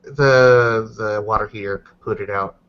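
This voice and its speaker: A man's voice: lowish voice, steady tone, unhurried, a bit bright, clear, resonant tone. A man talking casually and offhandedly, as if among friends. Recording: dull, lo-fi voice chat audio.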